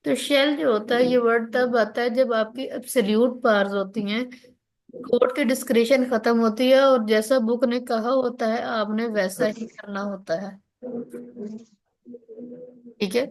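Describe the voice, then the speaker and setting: High-pitched Pakistani woman teaching law. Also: fairly even intonation, measured pace, poor audio. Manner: instructional, checks understanding often, mixes in English legal terms. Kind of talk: online lecture, explaining and reading out legal articles.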